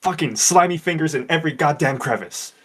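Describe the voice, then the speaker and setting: A man's voice: on the high side, expressive, a little fast, a bit bright, slightly rough. A man playing a tabletop roleplay game, talking casually in a wary, argumentative way with some swearing.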